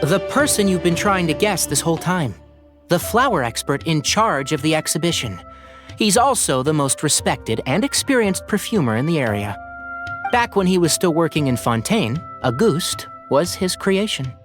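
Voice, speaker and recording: Casting: a calm young explainer, fairly high and lively in tone, music underneath. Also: male voice, normal speed. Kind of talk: video game voice acting.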